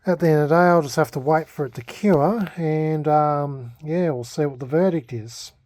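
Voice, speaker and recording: Mellow Australian man, casual and laid-back. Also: fairly high pitch, normal speed, no echo, clean studio sound. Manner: hesitant, self-deprecating, laid-back. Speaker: vlogger. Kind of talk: casual hobby restoration vlog, unscripted.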